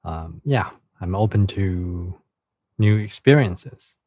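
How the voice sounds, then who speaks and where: Give me a man chatting on a livestream and reading aloud, halting and repeating himself. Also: low voice, expressive, a little mellow, breathy edge, dry recording, clean studio sound. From China. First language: Chinese. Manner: halting, repeats words and restarts. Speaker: livestream host and reader. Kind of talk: casual livestream chat and reading a novel aloud.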